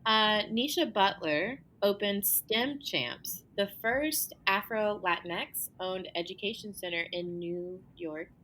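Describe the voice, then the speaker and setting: Bright-voiced woman podcast co-host. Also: medium pitch, a little hiss or hum, rough recording. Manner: lively, quick to laugh and agree. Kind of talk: casual podcast chat.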